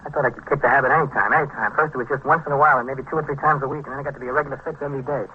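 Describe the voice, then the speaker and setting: An actor playing a jittery, sick young drug addict who rambles and repeats himself in a vintage radio crime drama. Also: male voice, mid-range voice, steady tone, breathy edge. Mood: bitter, confessing. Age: young adult. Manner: rambling, halting, repetitive, agitated. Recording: dull, noisy vintage radio recording.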